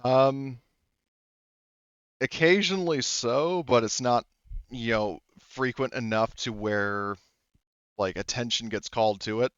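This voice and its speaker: A man improvising roleplay dialogue in a halting, rambling, explanatory way with frequent self-corrections. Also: mid-range voice, smooth, dry recording, highs cut off. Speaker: tabletop roleplayer.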